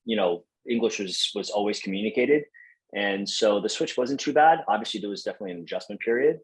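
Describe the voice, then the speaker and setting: Young guy from taiwan, kinda low voice, easygoing chatty interview guest, lots of ums. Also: fast, creaky, rough recording. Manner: relaxed, friendly, many fillers and restarts. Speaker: podcast guest. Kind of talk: casual interview chat.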